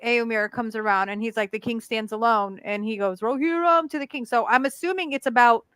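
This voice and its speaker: Crisp bright-voiced woman, careful diction. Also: fairly high pitch, a little fast, dry recording. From Connecticut. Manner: over-enunciates her words. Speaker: co-host. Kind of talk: casual chat.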